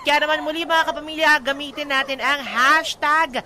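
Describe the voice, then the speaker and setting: A woman's voice: high-pitched, fairly even intonation, normal speed, sharp tone, a little hiss or hum. A woman with a Filipino accent co-hosting a radio show in a conversational style. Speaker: radio co-host. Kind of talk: lively radio banter and drama narration.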